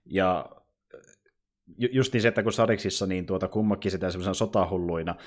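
A man co-hosting a podcast, talking casually about films and pausing to pick his words. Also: sits low. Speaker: podcast co-host. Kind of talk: casual film discussion and plot recap.

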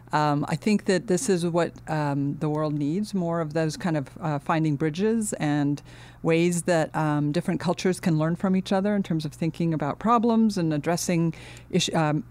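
A middle-aged woman from Finland, a guest in an interview, speaking earnestly and thoughtfully with many pauses and restarts. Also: on the low side, darkish tone. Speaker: guest, architecture dean. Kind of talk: casual interview talk.

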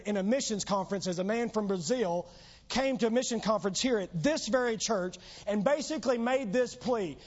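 Preacher, male, voice going hoarse, pitched high, earnest emphatic delivery, muffled lo-fi church recording. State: losing his voice. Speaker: pastor. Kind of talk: sermon. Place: church sanctuary.

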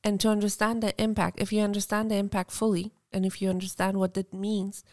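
A woman from Afghanistan co-hosting a podcast, speaking softly and reflectively with halting restarts. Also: mid-range voice, level tone, no room sound, clean studio sound. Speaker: podcast co-host. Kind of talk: casual podcast conversation.

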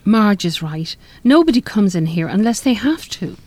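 Defiant woman, forthright, firmly dismissive, animated pitch, radio play. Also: moderate pace, no room sound. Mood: firm reassurance. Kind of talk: live radio drama acting.

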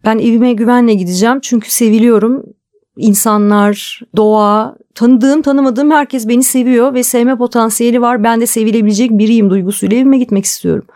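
A woman's voice: a little high, steady tone, a little fast, mellow. A woman speaking as an interview guest, explaining her thoughts in a conversational, reflective style. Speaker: interview guest, television drama producer.

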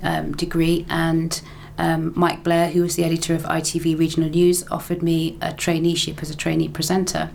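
A woman's voice: low voice, monotone, normal speed, gravelly, hardly any pauses, a little mellow, slight background noise. A middle-aged woman, casually telling her story in an interview, chatty and laughing easily. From England. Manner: chatty, laughs often, repeats phrases. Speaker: interview guest, broadcaster and lecturer.

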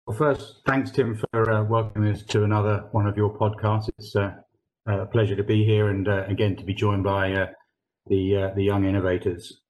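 A man talks through a research project in a measured, conversational way. He hesitates often, filling gaps with "um" and "uh" and restarting phrases as he thinks. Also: fairly low pitch, flat delivery, darkish tone, poor audio. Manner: hesitant, many fillers and restarts. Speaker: corporate real estate research lead. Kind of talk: conversational podcast explanation.

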